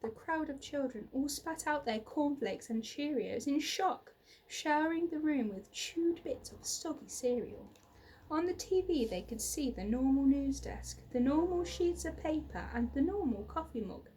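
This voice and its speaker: A woman in her thirties narrating a children's story expressively, with dramatic, deliberate emphasis. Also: sits high, animated, mellow, slight background noise, poor audio. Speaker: teacher reading a children's book aloud. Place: small plain indoor room.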